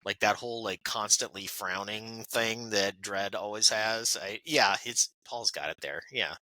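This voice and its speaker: A man's voice: on the low side, measured pace, pushed and bright, dry recording, low-quality recording. A man co-hosting a podcast, chatting casually and enthusiastically. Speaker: podcast co-host. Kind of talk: casual chat.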